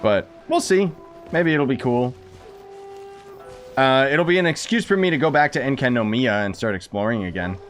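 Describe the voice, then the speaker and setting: A man's voice: mid-range voice, expressive, moderate pace, soft background music. A young man giving offhand, slangy live gameplay commentary while chatting to his viewers. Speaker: game streamer.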